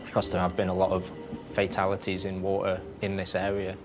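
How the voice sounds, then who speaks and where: Low-voiced paramedic, measured man. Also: monotone. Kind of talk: interview. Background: music.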